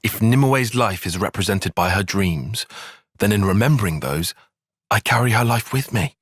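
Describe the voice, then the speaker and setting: Precise young British tactician. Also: male voice, lowish voice, expressive, fairly bright tone, husky, dry recording. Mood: measured, matter-of-fact. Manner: measured, formal, articulate, dry. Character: young male tactician soldier, bookish, cautious, precise, exasperated. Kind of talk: video game cutscene voice acting.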